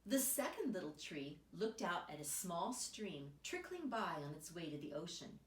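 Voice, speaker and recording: A woman reading a children's picture book aloud as an expressive storyteller, recorded in a roomy space away from the microphone. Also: on the low side, moderate pace, a little hiss or hum. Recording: roomy echo, distant microphone.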